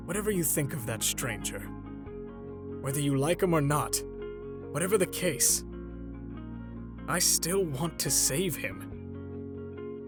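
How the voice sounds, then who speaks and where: A man playing an older superhero mentor in a comic dub, paternal and gently stern, with a theatrical, measured delivery. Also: on the high side. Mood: gentle, resolute. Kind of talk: dramatic comic dub voice acting.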